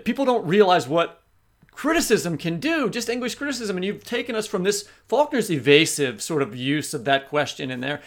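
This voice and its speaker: A man's voice: sits high, very animated, moderate pace. A man hosting a literary podcast, talking conversationally as he interviews a guest.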